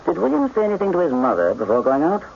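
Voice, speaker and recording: Shrewd gentleman detective, animated delivery. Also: a little high, fast talker, creaky, heavily acted. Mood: probing. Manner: wry, probing, precise. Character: shrewd, inquisitive gentleman detective, recovering from illness. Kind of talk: radio mystery drama acting. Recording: muffled, hissy old radio recording.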